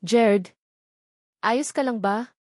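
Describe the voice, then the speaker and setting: A woman narrator voicing a worried, gentle wife in an audio story, speaking with anxious politeness. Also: a little high, extremely slow, dry recording, studio quality.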